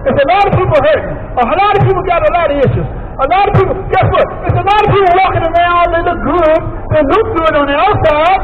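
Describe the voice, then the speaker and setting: High-pitched American man preaching on the street. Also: fast talker, few pauses. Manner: emphatic, repetitive, chanting phrases over and over. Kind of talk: street sermon, impassioned exhortation. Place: outdoors on a busy city plaza by a street. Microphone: handheld microphone through a portable speaker, heard from a distant camera. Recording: distant, dull outdoor recording with street and crowd noise.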